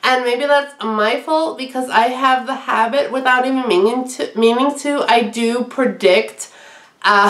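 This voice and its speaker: A woman's voice: a little high, fairly bright tone, roomy sound. A young woman in her twenties with a stuffy, nasal cold, chatting casually and laughing often while she rambles through a review. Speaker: YouTuber.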